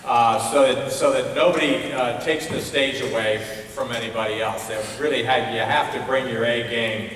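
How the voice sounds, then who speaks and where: A man's voice: medium pitch, steady tone, moderate pace, hardly any pauses, a bit bright. A man giving feedback as a festival judge, speaking to an audience and often searching for words. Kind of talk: spoken awards feedback to an audience. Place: large indoor auditorium. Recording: distant echoey hall PA, long reverb, faint noise.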